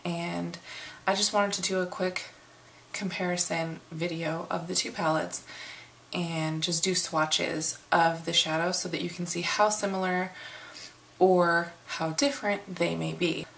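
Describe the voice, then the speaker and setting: A middle-aged woman from the United States giving a casual makeup review in an unhurried, chatty way, hoarse and sniffling from a cold. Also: lowish voice, level tone, fairly bright tone, a little hiss or hum, rough recording. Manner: unhurried, chatty, many fillers. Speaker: beauty vlogger.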